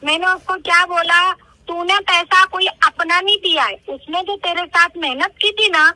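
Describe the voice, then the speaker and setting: A woman's voice: very high-pitched, fairly even intonation, moderate pace, pushed and bright, dry recording. A middle-aged woman talking informally on a recorded phone call, motherly and insistent, repeating her points as she advises someone younger.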